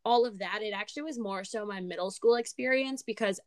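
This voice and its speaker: Twenties woman cohosting a chatty podcast, bit raspy, laughs a lot, dry close sound. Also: mid-range voice, a little fast, dry recording. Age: 20s. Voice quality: animated, laughs often. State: slightly raspy from screaming. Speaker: podcast co-host. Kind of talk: casual chat.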